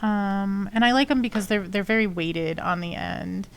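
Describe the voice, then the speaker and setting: A woman's voice: medium pitch, normal speed, vocal fry, clear, resonant tone. Chatty sniffly woman streamer. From not from Texas. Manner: rambling, chatty, many fillers. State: sniffly from allergies. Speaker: sculpting streamer. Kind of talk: casual live-stream tutorial chat. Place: home studio, lives in Texas.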